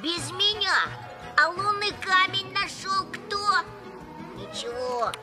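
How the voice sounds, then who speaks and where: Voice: extremely high pitch, animated, slow, exaggerated voice. An actor playing a cheeky, boastful little boy in a cartoon, bragging in a childlike way. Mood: stubborn, miffed. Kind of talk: animated cartoon acting. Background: music.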